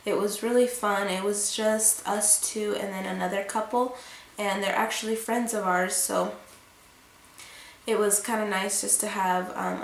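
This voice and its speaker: Young female vlogger, stuffy from a cold, rambling and chatty, some fry, echoey room. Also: mid-range voice, slight background noise. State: congested from a cold. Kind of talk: casual pregnancy update vlog.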